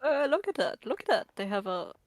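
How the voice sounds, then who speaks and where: A woman's voice: on the high side, big pitch swings, fairly quick, no room sound. Woman chatting casually over a game stream. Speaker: co-commentator on a game livestream. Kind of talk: casual game commentary chat.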